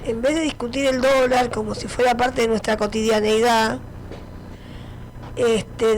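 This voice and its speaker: Argentine lady, rioplatense accent, bit gravelly, lots of fillers, echoey noisy line. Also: fairly high pitch. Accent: Rioplatense Spanish. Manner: filler-heavy, speaks in loose clauses. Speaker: radio host. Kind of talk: casual radio discussion.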